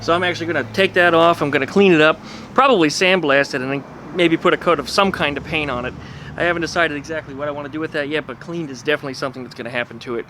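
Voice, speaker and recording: A man casually showing his car restoration work outdoors by his garage, chatty and relaxed, with lots of fillers. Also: a little high, very fast, a little hiss or hum. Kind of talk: casual workshop vlog.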